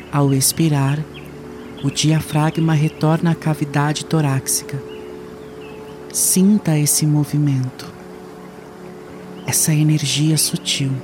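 A man leading a guided meditation in a soothing, unhurried way with long pauses. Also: a little high.

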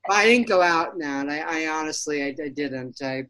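Lower-voiced woman chatting on a video call. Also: lively intonation, a little fast, fairly bright tone. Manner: stammering restarts, frequent laughter. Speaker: participant in a video call chat. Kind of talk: casual chat.

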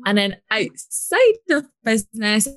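A woman's voice: medium pitch, unhurried, no echo. Scottish woman guest, mid-range voice, chatty and fluent, dry close recording, conversational podcast delivery. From Scotland. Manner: chatty, fluent, lively storytelling with fillers like "like". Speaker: guest business and money coach.

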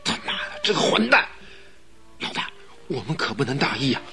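An actor playing a hot-headed wrestler henchman in a radio drama, talking in a rough, agitated, blustering way. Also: mid-range voice, big pitch swings, fairly quick, over music, close to an everyday voice. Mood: furious.

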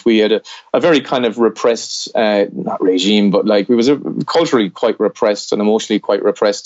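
A man's voice: on the low side, darkish tone, no echo, muffled recording. A middle-aged man with an Irish accent, a film director from the southwest of Ireland, talking reflectively in a podcast interview and correcting himself as he goes. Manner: rambling, self-correcting, reflective.

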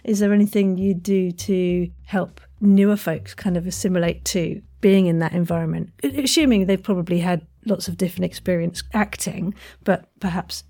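A woman's voice: fairly low pitch, steady tone, moderate pace, hardly any pauses, mellow. A woman interviewing as a podcast host in a casual, chatty, encouraging and informal way.

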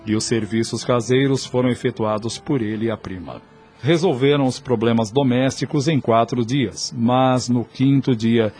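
A man narrates a radio drama from a script. His voice sits at a medium pitch and tells the story dramatically, with music playing behind him. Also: a little mellow. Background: background music.

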